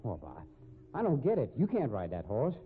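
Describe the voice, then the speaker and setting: An actor playing a gloating, bullying rodeo gambler in a 1950s radio drama, with American accent and rough slang. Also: male voice, medium pitch, wildly swooping pitch, a little fast, phone-quality sound. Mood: rattled, doubting. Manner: taunting, rough ungrammatical slang. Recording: thin, noisy vintage radio recording.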